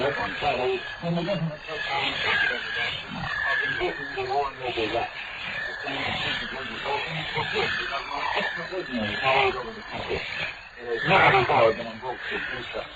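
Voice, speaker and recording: A man, a news correspondent, reading a formal, measured report. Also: mid-range voice. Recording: distant, lo-fi, noisy shortwave.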